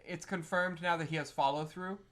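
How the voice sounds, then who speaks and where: A man's voice: fairly high pitch, steady tone, a little fast, fairly bright tone. A man casually going over gaming news as a podcast co-host.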